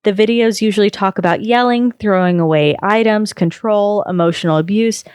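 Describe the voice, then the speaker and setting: A woman reading a long first-person post aloud on a podcast, in a steady storytelling manner. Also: medium pitch, moderate pace, clean tone, no echo, studio quality.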